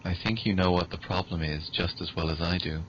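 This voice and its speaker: A man playing a measured, eerily polite machine butler that coldly refuses orders, as film parody. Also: sits low, fairly even intonation, a bit bright. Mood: coldly calm. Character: calm, menacing male-voiced computer butler, coldly refusing. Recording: dull lo-fi recording, background noise.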